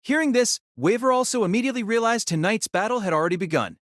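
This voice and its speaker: A man's voice: high voice, lively intonation, fast talker, fairly bright tone, no room sound. Male story narrator, dry and close. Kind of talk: dramatic scripted story narration.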